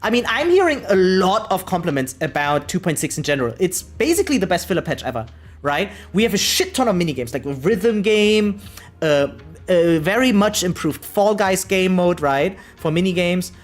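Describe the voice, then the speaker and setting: A video game streamer chatting casually and informally to viewers in an animated, opinionated way. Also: mid-range voice, normal speed. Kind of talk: casual livestream chat.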